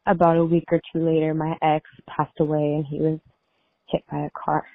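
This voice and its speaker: Over a narrow phone line, a woman with a low voice leaves a confessional voicemail. She speaks haltingly as an anonymous caller, with little melody. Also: completely flat delivery, dry recording.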